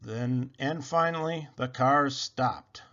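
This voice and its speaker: A man narrating a children's book aloud in an expressive, dramatic, emphatic style. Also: medium pitch, slow, highs cut off. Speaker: storybook reader.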